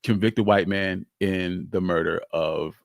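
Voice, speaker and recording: A man's voice: low-pitched, steady tone, measured pace, creaky, no room sound, clean studio sound. A man hosting a live history talk, telling the story emphatically and repeating phrases for weight. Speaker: host of a history show.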